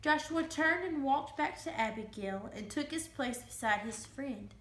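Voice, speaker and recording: A woman giving an expressive dramatic reading of a children's storybook. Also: sits high, unhurried. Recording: roomy, echoing room sound.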